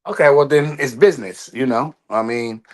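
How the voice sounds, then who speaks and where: A man's voice: mid-range voice, normal speed. A man co-hosting a casual boxing talk show, speaking loosely and rambling. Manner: loose, rambling, trails off with laughs. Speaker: co-host. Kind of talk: casual sports chat.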